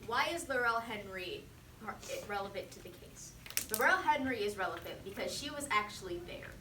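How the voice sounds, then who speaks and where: A woman's voice: mid-range voice, animated, moderate pace. A teenage girl giving a prepared persuasive student speech, repeating phrases for rhetorical emphasis. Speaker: student presenting a mock defense speech. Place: indoor classroom. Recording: roomy, distant mic, faint noise.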